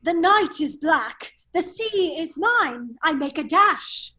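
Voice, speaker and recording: A foppish nobleman adventurer, played by a woman in a radio drama table read. The voice is very high and unhurried. The manner is teasing and sly, with little stammers and chuckles. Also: animated, pushed and bright, full character voice. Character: foppish, laughing English nobleman, a cunning hero in disguise. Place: remote virtual table read.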